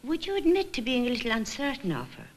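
An actress playing a kindly middle-aged ward sister in a 1950s instructional film, measured and gently questioning. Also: female voice, a little high, wildly swooping pitch, slight background noise. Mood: patient, reassuring. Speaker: senior nurse leading a staff discussion.

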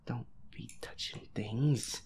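A man muttering feisty, sweary commentary to himself as he plays a horror game, in a breathy voice. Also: medium pitch, slow, background noise. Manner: feisty, grumbling, swears constantly. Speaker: gamer playing a horror game as audio roleplay character. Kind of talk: hushed gaming commentary, muttering to self.

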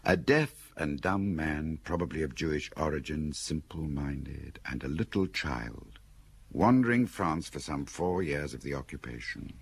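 A man playing a genial, thoughtful older gentleman in a radio drama, gravelly and husky, musing in an urbane way. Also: extremely low pitch, unhurried, exaggerated voice.

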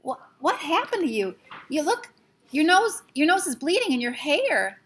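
A woman's voice: high-pitched, very animated, very slow, voice pushed up, exaggerated voice. Woman doing a panicky babbling boy. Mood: panicked. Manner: breathless, babbling. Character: excited anxious young boy, Patrick.

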